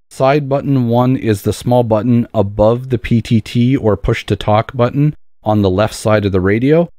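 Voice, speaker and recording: A man narrating a step-by-step software tutorial in an explanatory manner, repeating key points for emphasis. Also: medium pitch, no room sound, studio quality. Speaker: tutorial presenter.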